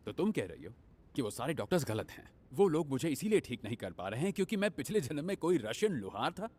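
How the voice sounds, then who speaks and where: A man's voice: on the high side, sing-song, quick pace, darkish tone. Man voicing a wry, skeptical young man. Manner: sarcastic, wry. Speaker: dubbing actor. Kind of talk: Hindi fan dub of an action film.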